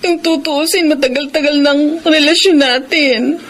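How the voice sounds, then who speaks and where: A woman's voice: high voice, steady tone, a little slow, a bit bright, slight background noise. An actress playing a clingy, tearful young woman in love in a Filipino radio drama, pleading and sentimental. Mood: tender.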